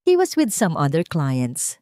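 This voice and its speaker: A woman's voice: low voice, wildly swooping pitch, measured pace, dark tone, dry recording, clean studio sound, exaggerated voice. A woman with a Filipino accent voicing a deferential male business associate giving a formal report in a story. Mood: businesslike, deferential.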